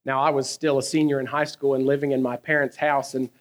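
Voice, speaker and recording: A man, preaching a sermon in a conversational, animated way, asking his listeners questions and telling stories from his own life. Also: mid-range voice, quick pace. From American South. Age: 30s. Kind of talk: sermon with personal storytelling.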